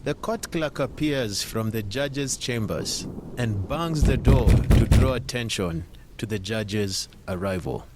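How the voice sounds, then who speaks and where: A man narrates an audio drama with suspense and theatrical weight. His delivery is unhurried and highly animated in pitch, set over music. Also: very noisy.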